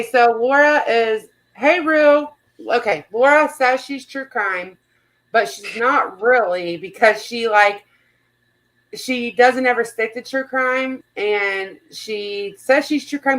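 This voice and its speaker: A woman's voice: a little high, a bit bright, poor audio. A woman chatting casually as the host of a livestream panel, blunt and informal, swearing freely. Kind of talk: casual panel chat, gossip commentary.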